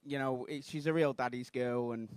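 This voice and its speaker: A Mancunian man in his thirties doing a rambling, self-deprecating stand-up routine on a comedy club stage, bursting into shouted outrage. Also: medium pitch, fairly even intonation, moderate pace, clean tone, no echo. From Manchester, England.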